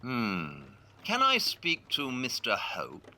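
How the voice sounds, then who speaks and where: Casting: male actor as clever, superior Victorian detective in a radio play, very bright incisive voice, swooping intonation. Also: mid-range voice, wildly swooping pitch, slow, exaggerated voice. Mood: assured. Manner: clipped, superior, deductive.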